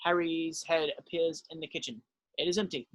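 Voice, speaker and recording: A man reads scene headings and stage directions as narrator of an amateur table read. His voice is a little high, and the sound is dry with no room echo. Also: fairly even intonation, normal speed, a bit bright.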